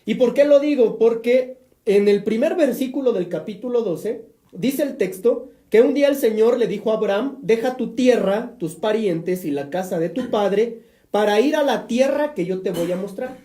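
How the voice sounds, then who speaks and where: A man's voice: high voice, normal speed, dark tone, roomy sound. A man with a Mexican accent, a priest hosting a live-streamed religious talk show, chatty and preachy with joking asides. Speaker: priest and program host.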